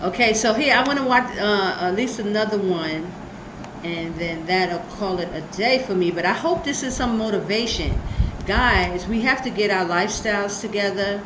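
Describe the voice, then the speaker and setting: A woman's voice: mid-range voice, moderate pace, echoey room, noisy background. A woman hosting her channel, talking earnestly and rambling about her diet and about preparing, with many fillers. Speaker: channel host. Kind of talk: casual reaction commentary.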